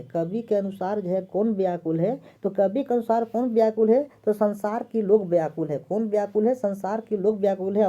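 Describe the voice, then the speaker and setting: Woman exam tutor, very dark voice, muffled lo-fi audio, goes through answers and repeats each one. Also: mid-range voice. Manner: repeats each question and answer several times. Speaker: exam prep teacher. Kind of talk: answer key walkthrough.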